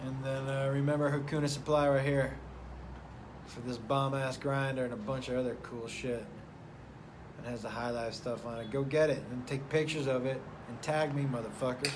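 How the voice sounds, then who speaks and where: A man hosting a casual live stream, chatting loosely and profanely, reading out viewer names and rambling. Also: medium pitch. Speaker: host of a live stream show. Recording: noticeable background noise.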